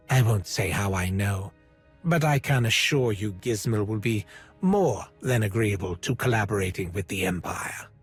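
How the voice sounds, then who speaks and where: An actor playing a haughty, disdainful Imperial operative in a video game, condescending and pompous with precise wording. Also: male voice, on the low side, slightly rough, exaggerated voice.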